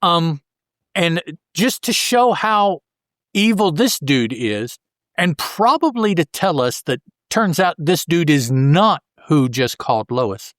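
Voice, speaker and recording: Casual male podcast host, slightly high voice. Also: sing-song, slow, a bit bright, dry recording, clean studio sound. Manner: colloquial, joking asides. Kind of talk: casual comic book recap chat.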